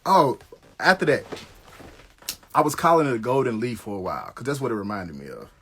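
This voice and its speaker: A man's voice: medium pitch, sing-song, a little fast, a bit bright, breathy edge. A middle-aged American man telling stories casually on a live stream, slangy and conversational, swearing freely.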